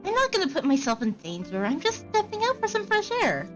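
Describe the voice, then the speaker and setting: A nervous young mistress, played by a woman, pitched up high and airy as she feigns innocence. She is polite and evasive, in visual novel style, with faint music underneath. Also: huge pitch swings, a little fast. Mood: defensive. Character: nervous, polite young mistress making excuses to her servant.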